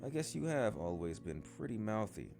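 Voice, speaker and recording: A man's voice: fairly low pitch, big pitch swings, moderate pace, creaky, music in the background, pitched above the performer's own voice. A man reading the part of a friendly older boy ninja in a storybook, casual and teasing. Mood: teasing, admiring.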